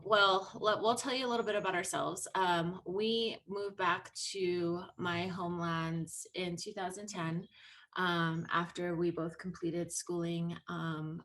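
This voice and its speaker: A woman's voice: fairly low pitch, fairly bright tone, a little hiss or hum. A woman in her thirties giving a loose, earnest presentation on a video call, with many ums and restarts. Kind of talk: online presentation talk. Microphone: laptop mic on video call.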